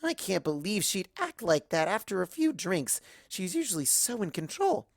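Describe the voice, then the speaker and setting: A woman's voice: mid-range voice, big pitch swings, moderate pace, creaky, no echo, clean studio sound. A woman voicing a bubbly, scatterbrained young cartoon pony who rambles earnestly like a child. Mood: upset, guilty. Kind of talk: first-person fan fiction narration in character.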